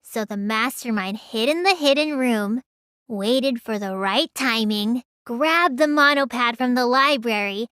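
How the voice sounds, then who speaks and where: A woman's voice: fairly high pitch, measured pace, fairly bright tone, clean tone, dry recording, clean studio sound. A woman voicing a small, childlike teenage girl mage in an anime video game, earnest and pouty, stammering when flustered. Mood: pondering.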